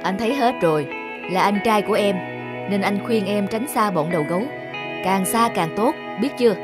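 A woman's voice: mid-range voice, moderate pace, over loud music, lightly acted. A woman playing a stern, protective older-brother doctor in a cartoon, direct and admonishing. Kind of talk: animated cartoon dialogue.

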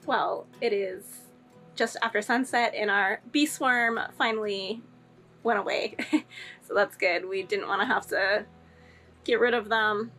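A woman in her thirties talking casually to an action camera on a sailboat, relaxed and laughing easily. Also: medium pitch, a little slow, faint music behind. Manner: relaxed, chatty, laughs often. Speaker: sailing vlogger. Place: aboard a sailboat cabin.

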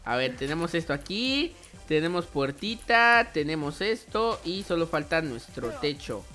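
A young man hosts casual gaming commentary in a bright, lively-edged voice. He is chatty and playful and often addresses his viewers directly. Faint music plays behind him. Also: on the high side, a little slow. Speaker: host of a gaming channel.

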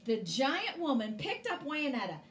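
High, animated woman reading a storybook. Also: moderate pace, slight background noise. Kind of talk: expressive children's storybook reading.

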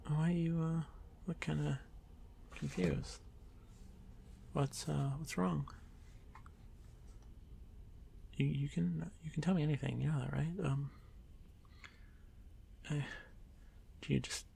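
Male actor as timid young drider for fantasy ASMR: very creaky, soft, halting delivery, lonely and apologetic, audible noise behind. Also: on the high side. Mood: self-conscious, anxious. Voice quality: gravelly, creaky. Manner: hesitant, stammering, soft-spoken, often dropping to whisper. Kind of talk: ASMR fantasy roleplay addressed to the listener.

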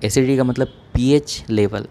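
A man's voice: medium pitch, normal speed, dark tone, slight background noise. A man giving a plain, methodical educational explanation as a doctor, point by point. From India. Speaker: dermatologist giving hair-care advice.

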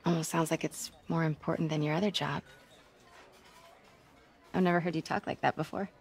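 A woman's voice: sits low, steady tone, a little fast, soft background music. An actress playing a young female reporter in a video game, dry and teasing as she talks at a diner.

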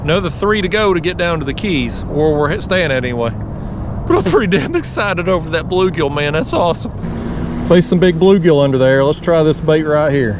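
Folksy Southern man fishing from a kayak. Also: normal speed. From Tennessee. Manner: folksy, excitable drawl, gets loud and high when a fish bites. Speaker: fishing channel host. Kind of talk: casual fishing vlog narration. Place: kayak on a lake, outdoors. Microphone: action camera mounted on the kayak. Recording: outdoor action-cam audio with noise.

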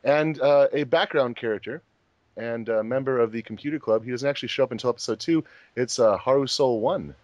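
A man hosts a casual commentary track in an ordinary mid-pitched voice. The sound is dry and close, with no room around it. He speaks informally, as the one leading the group. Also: fairly quick, no echo, dull audio. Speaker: host, fandub director.

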